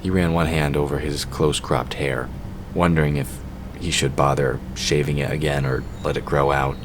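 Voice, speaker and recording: Super deep guy narrating a story from a script, pretty noisy background. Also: little pitch movement, normal speed. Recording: clearly noisy background ambience.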